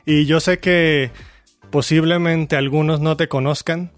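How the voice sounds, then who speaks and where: A man co-hosts a podcast, chatty and easygoing, stretching words for emphasis. His voice sits on the higher side, with faint music in the background. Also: steady tone, measured pace, no echo. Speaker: podcast co-host. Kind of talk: casual podcast hosting.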